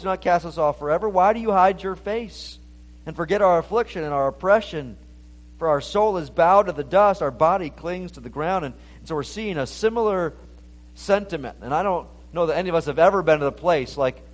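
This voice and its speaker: Earnest male pastor preaching. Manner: earnest, rises in pitch when reading scripture aloud. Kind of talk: sermon.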